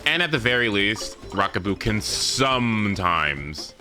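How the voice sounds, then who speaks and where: A man's voice: on the low side, very animated, a little slow. A man chatting casually on a gaming stream, rambling as he thinks aloud, with some swearing. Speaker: livestreamer. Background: game music.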